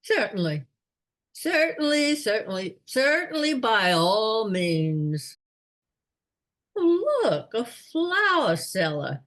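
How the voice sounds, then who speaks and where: A woman playing an absent-minded, genial old lord in a radio play, muttering vaguely and repeating words. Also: medium pitch.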